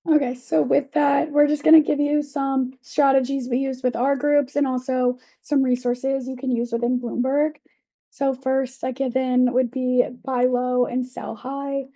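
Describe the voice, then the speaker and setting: A woman's voice: high voice, level tone, measured pace, mellow. A young woman teaching assistant who is losing her voice gives tips to a class in a casual, informal way, using "kind of" and "you know.". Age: young adult. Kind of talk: classroom presentation. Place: lecture classroom.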